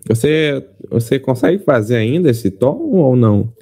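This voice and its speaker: A man's voice: medium pitch, lively intonation, moderate pace, warm tone, slightly rough. A Brazilian man interviewing casually, searching for his words as he goes. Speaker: podcast interviewer.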